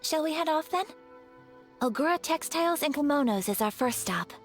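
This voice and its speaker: High-voiced, refined young noblewoman. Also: animated, normal speed, faint music behind. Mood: courteous. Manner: formal, courteous, composed. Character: polite, refined young noblewoman, gentle and dutiful. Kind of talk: video game character dialogue.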